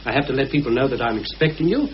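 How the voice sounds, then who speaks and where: An actor as calculating British plotter, lively intonation. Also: male voice, mid-range voice, lightly acted. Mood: methodical, persuasive. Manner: measured, methodical, persuasive. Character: Richard, calm calculating war veteran plotting a murder. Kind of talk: mid-century British radio drama acting. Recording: muffled, hissy old radio recording.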